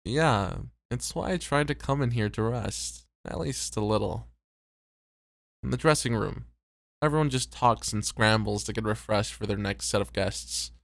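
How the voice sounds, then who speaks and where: Male actor as weary devoted host, low creaky voice, sincere, hesitant, ASMR roleplay. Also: moderate pace, fairly bright tone, no echo. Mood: worried, sincere. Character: conscientious, self-doubting male host, weary but devoted.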